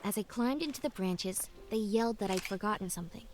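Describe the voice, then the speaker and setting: Wistful young female student played by an actress, medium pitch, gentle reflective game narrator, music underneath. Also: brisk, close to an everyday voice. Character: thoughtful, wistful young woman student leaving home. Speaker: narrator and protagonist of a puzzle adventure game. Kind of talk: scripted first-person storybook narration. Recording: music behind the voice. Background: background music.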